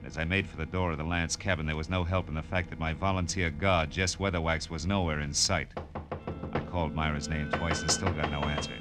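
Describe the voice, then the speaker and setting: An actor playing a tough, wisecracking American private detective in a classic radio drama, slangy and wry. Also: male voice, a bass voice, steady tone, fairly quick. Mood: tense narration. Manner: wry, slangy, hard-boiled delivery. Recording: old radio drama recording with music.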